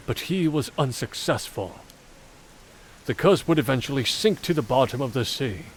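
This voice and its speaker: A man voicing a game character who recounts an old legend in a measured, formal, theatrical way. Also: medium pitch, a little hiss or hum, lightly acted, low-quality recording. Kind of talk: dramatic scripted visual novel storytelling. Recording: game audio with background music.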